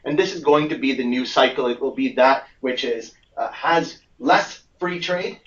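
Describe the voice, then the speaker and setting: A man giving explanatory commentary as a guest analyst on a conference call, speaking in a measured, halting way and repeating phrases for emphasis. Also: mid-range voice, a bit bright. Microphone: conference call line. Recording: muffled, echoey, far from the mic.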